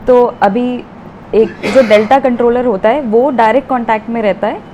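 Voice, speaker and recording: A woman's voice: fairly high pitch, moderate pace, muffled recording. A woman giving explanatory interview answers as an expert guest, mixing in English technical terms. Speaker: air traffic controller, interview guest. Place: at an airport, near navigation equipment. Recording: faint ambient location noise.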